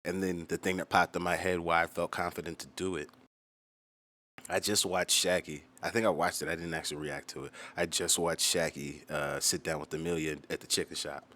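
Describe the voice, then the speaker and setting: A man's voice: low voice, fairly even intonation, a little fast, bright, forceful tone. A man giving casual, profanity-laced commentary on his own music, telling stories and acting out quoted exchanges as a musician hosting his channel.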